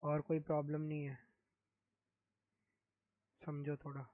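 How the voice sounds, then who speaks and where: Young indian guy streaming, talks quiet and hoarse, kinda flat, tinny dry mic. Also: a little high, slow pace, no room sound. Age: young adult. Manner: speaks softly, mic-checking. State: hoarse, sore throat. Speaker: game streamer. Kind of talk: casual live-stream chat.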